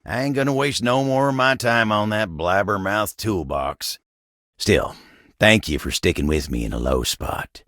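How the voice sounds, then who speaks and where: Game role: earnest, kindly country feller, gravel-voiced, unhurried American Southern delivery, recorded very dry. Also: male voice, on the low side, expressive, a bit bright, no room sound. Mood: humble, thankful. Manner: folksy drawl, humble and earnest. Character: gentle, folksy living table, cowboy-like helper. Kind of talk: video game character voice acting.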